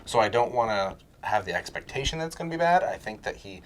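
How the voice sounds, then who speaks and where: A man's voice: fairly low pitch, sing-song, quick pace. A man co-hosting a casual chat about movies and games. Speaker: co-host. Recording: faint background noise.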